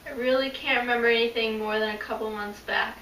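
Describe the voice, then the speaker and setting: A woman's voice: on the high side, fairly even intonation, quick pace, fairly bright tone, lightly acted. A woman playing a sheltered, resentful eighteen-year-old fairytale maiden in an amateur comedy skit, blunt and petulant. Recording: roomy, distant mic, some background noise.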